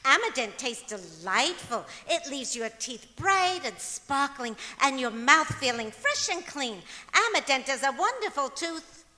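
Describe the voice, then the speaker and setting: A chirpy, scatterbrained radio-comedy wife, acted by a woman, very high and bright. She swoops through her lines with earnest, daffy innocence, in an auditorium with long reverb. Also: big pitch swings, unhurried, hardly any pauses, exaggerated voice. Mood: sweetly promotional. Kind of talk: old-time radio comedy acting on stage. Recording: echoey auditorium stage recording.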